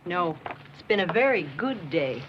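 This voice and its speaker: An actress playing a confident, assured sales manager, in a 1980s training film. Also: female voice, lowish voice, very animated, measured pace. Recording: muffled film soundtrack with hiss.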